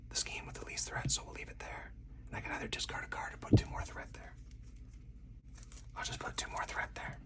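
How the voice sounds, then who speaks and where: A man whispering a board game play-through in slow, soothing ASMR style, with breathy, deliberate step-by-step narration. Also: distant mic. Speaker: solo board game player and channel host.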